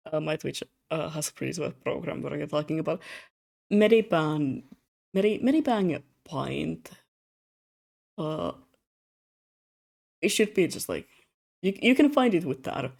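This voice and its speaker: A young adult streamer chats loosely on a streaming mic while drawing commissions. The voice sits at a middle pitch and sounds tired, with words slurring a little. The talk rambles and turns self-mocking, broken by frequent laughs. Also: animated, a little slow, creaky, long pauses, a little mellow. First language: Russian. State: tired, slightly slurred speech. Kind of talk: casual live-stream chat.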